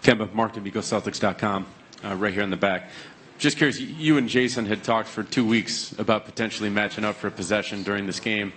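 A male reporter asking a brisk question at a press conference. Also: lowish voice, fairly bright tone, slight background noise.

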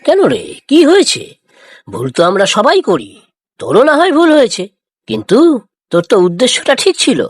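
A woman voicing a kind, patient village farmer father in a moral cartoon, gently coaxing and reassuring. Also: mid-range voice, huge pitch swings, fairly quick, long pauses, husky, no echo, studio quality. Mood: gently consoling. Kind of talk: Bengali moral cartoon dialogue.

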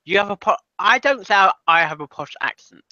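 Lively British man on a lo-fi mic. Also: high-pitched, fairly quick, a little hiss or hum. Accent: British English. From Britain. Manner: animated, joking, talks to the chat. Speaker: livestream co-host. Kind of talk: casual chat.

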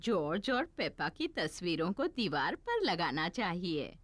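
A woman voicing a gentle, encouraging female gazelle teacher in a children's cartoon dub. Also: lowish voice, big pitch swings, a little slow, no echo, exaggerated voice. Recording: cartoon soundtrack with music.

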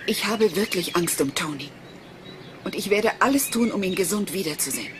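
An actress playing a cool, proud, guarded wife in a German crime drama, speaking in a clipped, defensive way. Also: female voice, mid-range voice, expressive, normal speed, noisy background, close to an everyday voice. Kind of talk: German dubbed TV crime drama as radio play.

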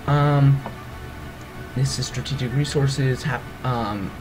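Man, groggy voice, hesitant rambling, mid pitch, music playing behind. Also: fairly even intonation, slow, low-quality recording. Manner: rambling, hesitant, full of ums and self-corrections. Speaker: let's play gamer. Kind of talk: casual gameplay walkthrough.

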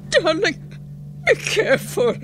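An actress playing a devoted, gentle elderly wife in a radio drama, speaking in a tender, fretful, pleading way. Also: female voice, very high-pitched, very animated, slow pace, over music, heavily acted. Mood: anxious, pleading. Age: older.

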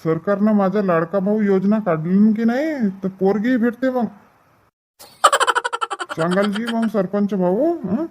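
A man's voice: sits high, unhurried, warm tone, slight background noise. A man voicing a bantering, grumbling village farmhand in a comedy cartoon, with a rural Vidarbha Marathi accent and a drawling, colloquial delivery. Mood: complaining, joking. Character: male villagers in a comic paddy field, bantering and grumbling. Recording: dull, muffled lo-fi audio.

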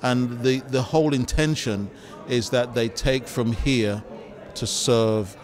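A middle-aged male pastor giving measured, halting interview answers into a handheld microphone in a large church hall. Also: medium pitch.

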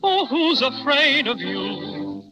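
A man's voice: extremely high pitch, big pitch swings, slow pace, exaggerated voice. A man playing a boastful little teddy bear, singing a theatrical rhyming song on 1930s radio. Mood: playful, boastful. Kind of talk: 1930s radio novelty song over music. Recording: old 1930s radio recording with loud music.

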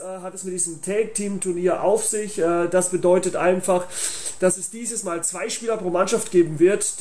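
A man's voice: high voice, darkish tone. A young man, hosting an online channel and making an informal promotional announcement to viewers. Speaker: YouTube channel host and tournament organiser.

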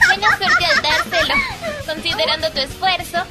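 Teasing young anime girl, Latin American Spanish, very high voice, swooping and sardonic. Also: huge pitch swings, moderate pace, heavily acted. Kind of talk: anime dub acting. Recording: loud music over voice.

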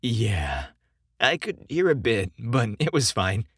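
Man playing a shy, animated young man. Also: mid-range voice, takes it slowly, husky, no room sound, clean studio sound, full character voice. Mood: feigned casual. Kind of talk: audio story character dialogue.

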